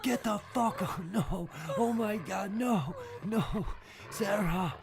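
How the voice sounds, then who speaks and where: A man's voice: high voice, takes it slowly, vocal fry, a crowd in the background, heavily acted. A man playing a grief-stricken young survivor, screaming in horror and desperation. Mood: horrified, desperate. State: screaming, distraught.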